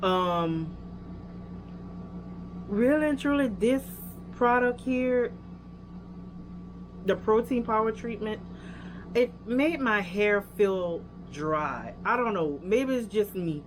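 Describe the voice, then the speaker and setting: A woman's voice: medium pitch, expressive, slow, long pauses, noisy background. A young woman with a Louisiana accent, casually reviewing hair products and chatting straight to her viewers with lots of fillers. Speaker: hair care vlogger.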